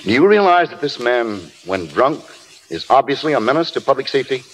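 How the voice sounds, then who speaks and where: Man acting disdainful judge, authoritative, animated intonation, 1930s radio play, faint hiss. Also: mid-range voice, brisk, lightly acted, poor audio. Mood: solemn. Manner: formal, clipped, authoritative. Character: stern, disdainful night court judge, man. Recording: old hissy radio broadcast.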